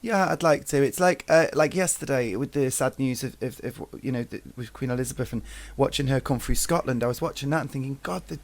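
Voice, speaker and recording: A man's voice: mid-range voice, brisk, few pauses, no echo. A middle-aged man hosting a podcast, interviewing in a casual, conversational way. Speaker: podcast host.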